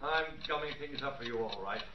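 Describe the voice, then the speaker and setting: An actor playing a gloomy, self-blaming fugitive brother in a 1940s radio drama, sounding resigned. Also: male voice, medium pitch, brisk, dull audio, close to an everyday voice. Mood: glum. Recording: very echoey, noisy, distant 1940s radio recording.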